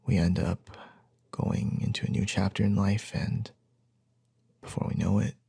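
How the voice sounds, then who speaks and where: A man performs as a caring young boyfriend in an ASMR roleplay. His voice is lowish, very husky and breathy, full of crackly fry. He speaks softly and hesitantly, reassuring his partner with tenderness. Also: flat delivery, exaggerated voice. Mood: thoughtful, soothing. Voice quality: soft, breathy, husky, often near a whisper. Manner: slow, hesitant, tender, with long pauses.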